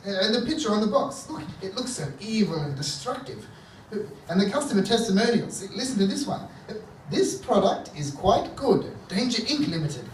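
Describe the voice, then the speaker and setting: Comic villain role male actor: blustering mad professor, fairly high voice, pompous and dithering, heard distantly in an echoey theatre. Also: very animated, normal speed, a little hiss or hum. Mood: flustered, blustering. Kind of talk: stage comedy radio play. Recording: echoey theatre stage, distant mic, faint music.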